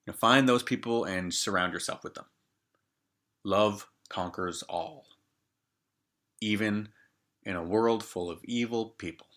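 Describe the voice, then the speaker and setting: A man in his twenties reading a fairy tale aloud, expressive and jokey, with casual asides. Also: on the low side, a little slow, pauses often. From Canada. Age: 20s. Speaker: storyteller.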